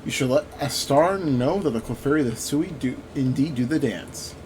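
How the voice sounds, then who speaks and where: A man's voice: medium pitch, moderate pace, darkish tone, slight background noise, poor audio. A man giving casual gameplay commentary, rambling and thinking aloud as he plays. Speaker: gaming video host.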